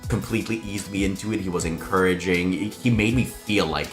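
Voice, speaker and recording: A man chatting casually on a livestream, expressive and amused as he shares anecdotes with a friend. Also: deep voice, a little fast. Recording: stream audio with music behind. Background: background music.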